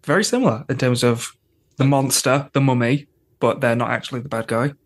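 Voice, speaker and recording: A man's voice: mid-range voice, fairly even intonation, fairly quick. A man appearing as a podcast guest, casually discussing a television episode.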